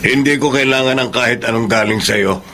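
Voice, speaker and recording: A man plays a cold, scolding father-in-law in a radio drama. He speaks curtly at a middling pitch, rejecting any kindness, with faint noise behind him. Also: a little fast, pushed and bright, natural voice. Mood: cold, irritated. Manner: curt, scolding. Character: stern, hostile father-in-law who rejects his daughter-in-law. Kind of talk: Filipino radio drama acting.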